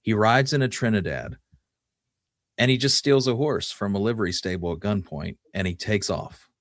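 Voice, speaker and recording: A man hosting a podcast, telling Western history in a conversational, somewhat halting way. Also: fairly low pitch, dry recording, studio quality. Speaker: podcast host.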